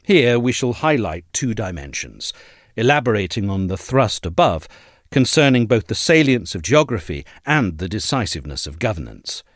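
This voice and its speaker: Male narrator for long-form essay: lowish register, expressive pitch movement, formal and articulate, recorded dry. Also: normal speed, no echo. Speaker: narrator reading an essay aloud. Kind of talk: scripted narration of a political essay.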